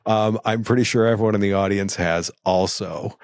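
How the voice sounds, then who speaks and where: A man's voice: lowish voice, steady tone, normal speed, dry recording. A man hosting a podcast interview, friendly and affirming, with hesitant fillers. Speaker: podcast host. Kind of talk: casual interview chat.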